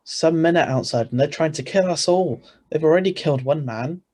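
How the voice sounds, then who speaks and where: A man's voice: a little high, fairly quick, a little mellow, close to an everyday voice. Man playing a tense, protective ordinary husband. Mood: urgent. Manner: urgent, plain-spoken. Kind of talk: 1940s-style radio drama acting and narration. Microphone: video call.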